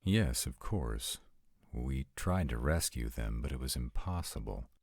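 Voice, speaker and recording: An extremely low-voiced, urbane vampire acted by a man for a fantasy audio roleplay. He speaks formally and unhurriedly, with wide swoops of intonation and a gravelly rasp. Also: lively intonation, darkish tone, dry recording, clean studio sound, exaggerated voice. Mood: stern, formal. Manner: formal, measured, articulate. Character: arrogant, urbane male vampires, courteous and formal.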